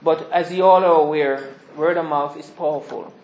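A soft-spoken man giving a rambling, informal sermon introduction to a small congregation. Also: fairly even intonation, moderate pace, a little hiss or hum. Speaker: visiting preacher. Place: small church hall. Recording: echoey hall, dull low-fi sound.

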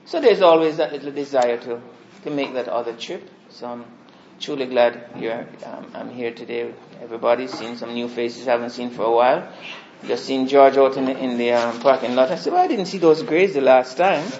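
A soft-spoken man giving a rambling, informal sermon introduction to a small congregation. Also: a little fast, crowd noise. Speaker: visiting preacher. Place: small church hall. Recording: echoey hall, dull low-fi sound.

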